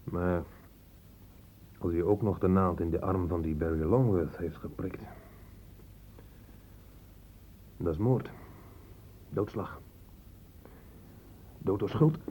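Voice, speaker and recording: A man acting in a radio play as a calm, dryly humorous police inspector. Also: low voice, slow, long pauses. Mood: grave, probing. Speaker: actor in a radio drama. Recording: old radio recording, faint noise.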